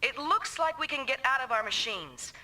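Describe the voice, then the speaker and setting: An actress playing a tough young woman mech pilot in a video game, brisk, bossy and scolding. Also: high-pitched, lively intonation, sharp tone, heavily acted, poor audio. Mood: businesslike.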